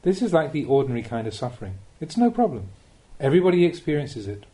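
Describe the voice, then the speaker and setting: Mellow-voiced man reading a Dhamma talk. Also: medium pitch, big pitch swings, fast. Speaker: Buddhist monk reading a teacher's collected talks.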